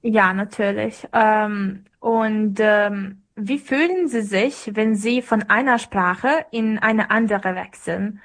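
A woman's voice: mid-range voice, slow, hardly any pauses. Young female interviewer with a mid-range voice, asking prepared questions.

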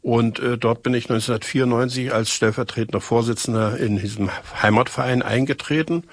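A man's voice: mid-range voice, fairly even intonation, fairly quick, dry recording. An older man recounting things in an interview. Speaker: housing company employee, chemist by training.

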